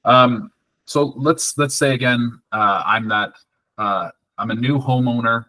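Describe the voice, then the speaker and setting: A man's voice: medium pitch, a little slow, sharp tone, dry recording, rough recording. A man hosting a podcast, asking questions in a laid-back, conversational way with fillers. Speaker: podcast host. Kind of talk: casual interview.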